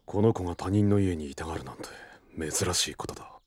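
A man's voice: a little fast, no echo. A man playing a stoic, guarded wandering monster hunter in an anime audio drama, speaking tersely. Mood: brooding, reserved. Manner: terse, brooding, low-key delivery.

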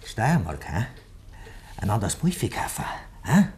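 A man's voice: on the low side, sing-song, unhurried, a little mellow. An actor playing a guilty, bullying village man with a Bavarian accent, badgering with taunting questions in a 1950s dialect radio play. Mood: taunting, needling.

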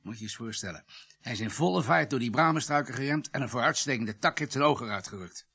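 An actor playing a matter-of-fact police doctor in a radio drama, talking conversationally with a gravelly, husky voice. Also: male voice, mid-range voice, animated, quick pace, sharp tone, exaggerated voice.

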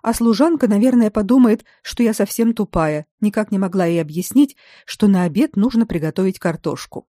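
A woman's voice: mid-range voice, lively intonation, fairly quick, few pauses, warm tone, no room sound, studio quality, voice pushed up, natural voice. A woman voicing an exasperated young student.

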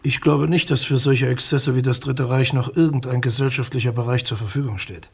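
An actor playing a formal, guarded public prosecutor in a radio drama, with a reserved, official manner. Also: male voice, medium pitch, fairly even intonation, fairly quick, creaky, breathy edge. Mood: formal, defensive.

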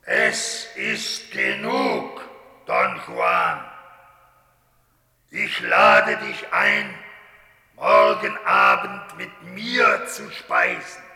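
A man playing a solemn, supernatural stone statue of a dead commander in a German radio play, speaking in slow declamation. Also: high-pitched, sing-song, gravelly, bright, forceful tone, heavily acted. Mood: solemn menace. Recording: echoing hall with long reverb.

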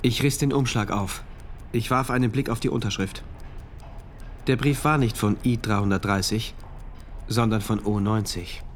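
A man's voice: on the low side, steady tone, moderate pace, long pauses, slight background noise, lightly acted. An actor playing a troubled, introspective male diarist who narrates a dystopian radio drama in diary entries. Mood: inward, resigned.

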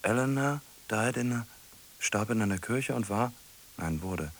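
An actor playing a solitary man musing aloud in a radio play, halting, repeating phrases and muttering questions to himself. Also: fairly low pitch, expressive, a bit bright, slight background noise. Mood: pensive, searching. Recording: music playing behind the voice.

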